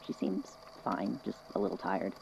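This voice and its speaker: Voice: sits low, very animated, moderate pace, gravelly, a little mellow, a little hiss or hum, exaggerated voice, low-quality recording. A performer playing a friendly, easygoing coworker in a scripted audio drama, talking casually. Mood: friendly, amused. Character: easygoing, friendly institute coworker bringing news.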